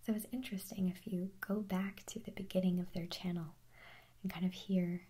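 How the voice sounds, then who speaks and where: A young woman answers viewer questions in a soft, airy whisper for an ASMR video. Her tone is mellow and slightly husky. She talks in a loose, rambling way, often restarting her sentences. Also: fairly low pitch, flat delivery, a little fast. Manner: chatty, rambling, self-correcting, giggly.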